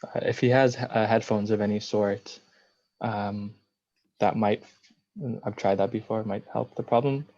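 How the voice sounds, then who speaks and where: A man speaking earnestly on a video call, halting with fillers, over dull lo-fi audio. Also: on the low side, fairly even intonation, mellow. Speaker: discussion participant. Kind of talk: casual video call remarks. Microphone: computer microphone on a video call.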